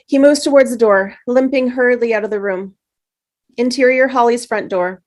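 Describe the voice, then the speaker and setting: A woman reading the stage directions at a script table read, steady with small slips. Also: fairly high pitch, normal speed.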